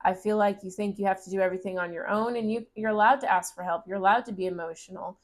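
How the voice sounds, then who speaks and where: A woman giving a casual tarot reading to camera, speaking gently and encouragingly, and her voice is turning raspy. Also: mid-range voice, steady tone, quick pace. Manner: gentle, encouraging, reads card text aloud. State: voice getting raspy. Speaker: tarot reader.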